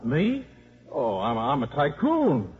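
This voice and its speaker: A glib, sardonic father and small-time hustler in a 1950s radio play. His voice is husky and middle-pitched, and the old recording is muffled. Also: huge pitch swings, takes it slowly, full character voice. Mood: glib, wisecracking. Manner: sarcastic, glib. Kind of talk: 1950s radio drama acting.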